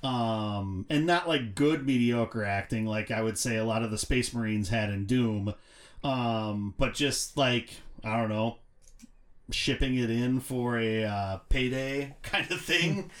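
A man's voice: mid-range voice, slow pace. A man chatting casually as a panelist on a film discussion podcast.

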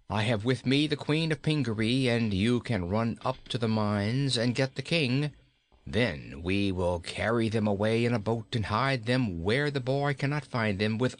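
A man's voice: mid-range voice, natural voice. A man voicing a frightened, scheming wicked queen in a storybook, urgent and commanding. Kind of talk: storybook character reading.

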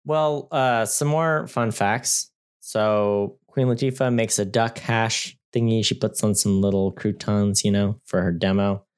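Very low-voiced joking man in casual chat. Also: fairly even intonation, measured pace, clear, resonant tone. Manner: rambling, many fillers and restarts; wry, joking. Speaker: podcast co-host.

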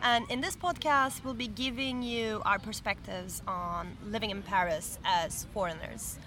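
A woman, co-hosting a casual podcast in an animated, chatty way, recorded outdoors in a city park. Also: medium pitch, a little slow. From Turkey. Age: 30s. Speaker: podcast co-host. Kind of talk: casual chat. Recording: faint outdoor traffic noise.

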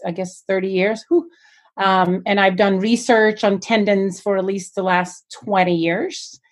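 A woman in her fifties from Sweden, a physical therapy professor, talking in a chatty, self-deprecating way with frequent ums. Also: medium pitch. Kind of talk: panel podcast discussion.

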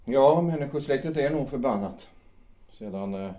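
An actor playing a gentle, hesitant middle-aged man who shows sympathy for an unhappy wife in an audio drama. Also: medium pitch, lively intonation, lightly acted.

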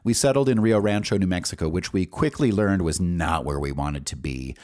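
A man's voice: low voice, normal speed, a little mellow. An American man hosting a podcast, telling stories from his own life in a casual, candid, rambling way with some swearing. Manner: rambling, candid, profane, thinking aloud. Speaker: podcast host. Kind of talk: casual autobiographical storytelling.

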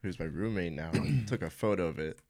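A nineteen-year-old man, a band's keyboardist, speaking quietly and dryly as a podcast guest. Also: low-pitched, brisk. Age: teens. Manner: quiet, dry, understated.

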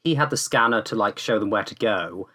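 A man's voice: lowish voice, expressive, fairly quick, bright, forceful tone. A man chatting casually as a podcast panelist, with hesitant, rambling phrasing.

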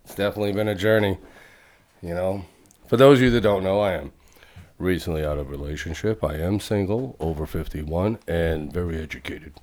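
A man in his early fifties talks casually on a podcast, low-pitched and self-deprecating. He jokes about himself in an easygoing way. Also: a little fast, a little mellow. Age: 50s. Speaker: podcast guest. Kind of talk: casual chat.

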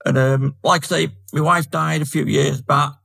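An older man with a Manchester accent, chatting easily as a podcast guest and filling pauses with um. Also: fairly high pitch, steady tone, creaky, a bit bright. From Manchester, England. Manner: chatty, friendly, fills pauses with um. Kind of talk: casual chat.

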